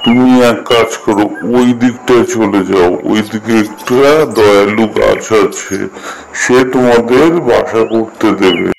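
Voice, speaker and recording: A man's voice: fairly low pitch, fairly even intonation, a little slow, creaky, slight background noise, poor audio. A man voicing a grumpy, dismissive tree in a cartoon story, speaking in a scornful, brusque way. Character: grumpy, dismissive male tree turning birds away. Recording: background music under the voice.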